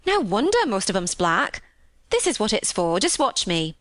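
A woman's voice: mid-range voice, very animated, normal speed, fairly bright tone, no room sound, clean studio sound. A woman voicing a cheerful young Yorkshire housemaid who chatters eagerly in folksy dialect for a storybook. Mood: proud, eager.

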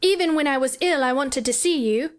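Woman playing a young boy, extremely high voice, eager, imperious, earnest, storybook character. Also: a little fast, natural voice. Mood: earnest, hopeful. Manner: earnest, questioning, well-spoken.

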